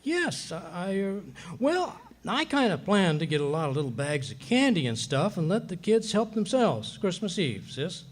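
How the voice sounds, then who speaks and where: An actor playing a blustering, bumbling but soft-hearted husband in folksy, slangy 1940s radio comedy style. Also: male voice, high voice, big pitch swings, moderate pace, few pauses. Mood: sheepish, kindly.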